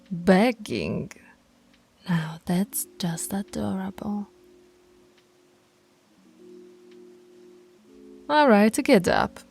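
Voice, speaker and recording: A woman playing a dominant, teasing female alpha werewolf pack leader in an ASMR roleplay, commanding and often slipping into a breathy whisper. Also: mid-range voice, a little mellow. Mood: amused, commanding.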